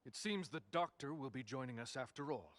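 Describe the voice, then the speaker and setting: An actor playing a smug, polished rich industrialist in a video game cutscene. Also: male voice, mid-range voice, animated, brisk, a bit bright, natural voice.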